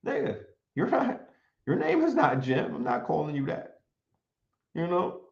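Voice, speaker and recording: A man's voice: a little high, unhurried, gravelly. Emphatic New man in a roomy space. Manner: animated, emphatic, repetitive rhetorical questions. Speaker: commentator. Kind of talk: monologue commentary mixed with reading from notes. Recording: roomy, dull lo-fi sound.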